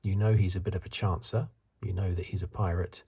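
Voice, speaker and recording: A man giving soft-spoken, partly whispered ASMR review commentary in a breathy, hesitant, musing way. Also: low-pitched, steady tone, fairly quick. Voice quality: breathy, hushed. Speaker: host of a film review video.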